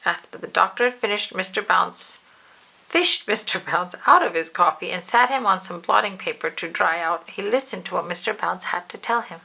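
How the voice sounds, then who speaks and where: Female storybook reader: bright, slightly gravelly edge, animated delivery with drawn-out emphasis, speaks straight to the child. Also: fairly low pitch, rough recording. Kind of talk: expressive children's read-aloud narration.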